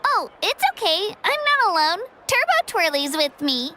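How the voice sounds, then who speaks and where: A woman's voice: very high voice, very animated, moderate pace, heavy background noise. A woman voicing an earnest, friendly young girl adventurer in an anime game, admiring and encouraging. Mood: friendly, casual.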